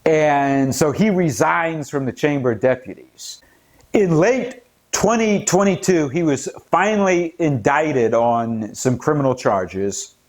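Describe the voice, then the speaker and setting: A man's voice: on the high side, takes it slowly. An American man hosting his own commentary channel, talking earnestly and growing indignant as he lays out a case. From United States. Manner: earnest, indignant, rising emphasis at key points. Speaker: political commentary channel host. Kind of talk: scripted-sounding solo commentary.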